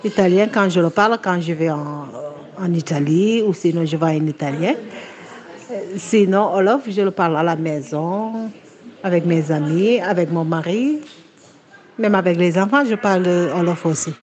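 A woman's voice: on the low side, normal speed, darkish tone, highs cut off. A middle-aged woman from Marseille, a mother, answering interview questions openly and proudly. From France, Marseille. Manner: open and proud, plain answers. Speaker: parent of a pupil. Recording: crowd chatter behind.

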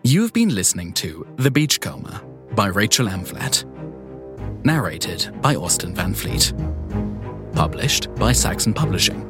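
A man reading crime fiction as a scripted audiobook narration. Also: lowish voice.

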